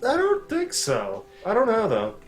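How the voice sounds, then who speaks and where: A man's voice: high-pitched, wildly swooping pitch, a little slow, soft background music. A man casually chatting about esports as a co-commentator, speaking in a hesitant, tentative way.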